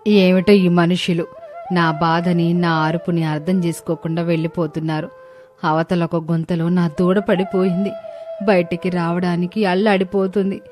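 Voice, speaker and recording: A woman's voice: fairly low pitch, flat delivery, moderate pace, a little mellow, faint music behind, natural voice. Woman voicing a plaintive, loving mother cow. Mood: anguished, helpless. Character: distressed, loving mother cow pleading for her calf.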